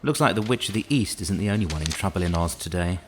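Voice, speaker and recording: A man's voice: sits low, expressive, quick pace, slight background noise. A man playing a harried, dry-witted palace official in an audio drama, curt and sardonic in his exasperation. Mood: grim, dry.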